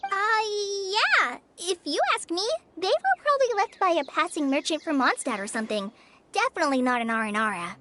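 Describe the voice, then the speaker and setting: A woman playing a bright young kid. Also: high voice, sing-song, heavy background noise. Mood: reasoning. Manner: quick, doubting, matter-of-fact. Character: eager young child, curious and practical. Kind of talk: video game voice acting.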